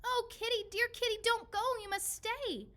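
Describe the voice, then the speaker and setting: A woman voicing an exasperated cat owner in a children's storybook, dramatic and loud. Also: very high-pitched, fairly even intonation, moderate pace, pitched above the performer's own voice. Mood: pleading. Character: exasperated pet owner scolding a naughty cat. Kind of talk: children's storybook read-aloud.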